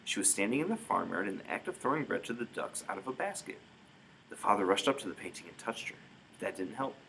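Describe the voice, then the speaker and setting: A man narrates a storybook aloud with dramatic emphasis. His voice is fairly low and very animated in melody, over faint background noise. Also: fast, gravelly, poor audio. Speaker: storybook reader. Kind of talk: expressive read-aloud narration.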